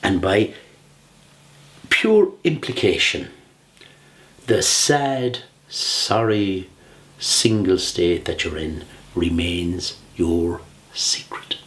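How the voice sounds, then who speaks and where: A man in his sixties with an Irish accent, giving a humorous prepared speech in a measured, theatrical way with deliberate pauses. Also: deep voice, fairly bright tone. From Ireland. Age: 60s. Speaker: humorous public speaker.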